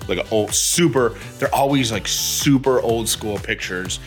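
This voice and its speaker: A man's voice: mid-range voice, a little slow, soft background music. A man chatting casually as a podcast co-host, with a wry, joking way of talking. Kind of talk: casual chat.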